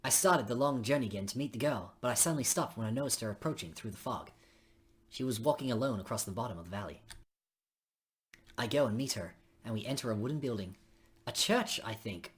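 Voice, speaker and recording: A woman with a husky voice reading game dialogue aloud on a stream, with casual asides. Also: very deep voice, animated, brisk.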